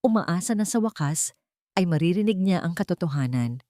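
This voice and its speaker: A woman's voice: lowish voice, animated, moderate pace, dark tone, no room sound, studio quality. A woman narrating a scripted dramatic story as its storyteller.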